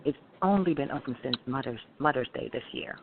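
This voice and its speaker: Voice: medium pitch, animated, brisk. A middle-aged restaurant owner from Guyana, answering interview questions in a friendly, chatty way. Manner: friendly, explains dishes at length with fillers. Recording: tinny phone-like line with faint hiss.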